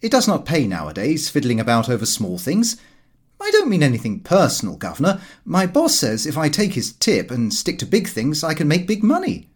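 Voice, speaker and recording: A man's voice: on the high side, wildly swooping pitch, a little fast, slightly rough, heavily acted. A man voicing a brash, breezy young clerk's son full of slang and self-assurance in an audiobook. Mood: cocky, persuasive.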